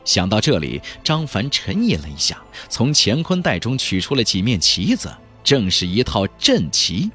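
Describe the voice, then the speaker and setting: A man's voice: fairly low pitch, wildly swooping pitch, normal speed, hardly any pauses, fairly bright tone, breathy edge, faint music behind. A man narrating a fantasy novel as a dramatic scripted audiobook.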